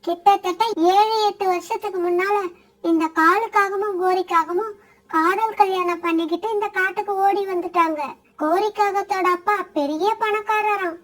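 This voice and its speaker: A chatty cartoon sparrow with a high voice, always prying into other birds' lives and passing on stories, voiced by a woman. She talks in an eager, gossipy way. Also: fairly even intonation, normal speed, fairly bright tone, poor audio. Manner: nosy, chatty storytelling. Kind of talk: cartoon voice acting.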